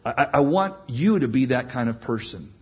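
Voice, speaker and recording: A man's voice: mid-range voice, expressive, a little fast, slightly rough, highs cut off. A man pastor preaching a sermon in church, emphatic and repeating his points. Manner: emphatic, repetitive exhortation.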